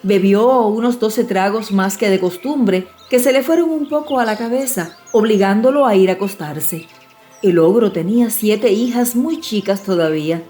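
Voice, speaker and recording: A woman storyteller giving an expressive, measured narration of a dramatized fairy-tale audiobook. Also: mid-range voice. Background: music.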